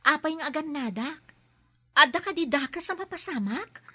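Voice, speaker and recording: A woman's voice: high voice, expressive, normal speed, sharp tone. A woman acting a patient, caring young health worker in an Ilocano radio drama, with an Ilocano Filipino accent. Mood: curious, friendly.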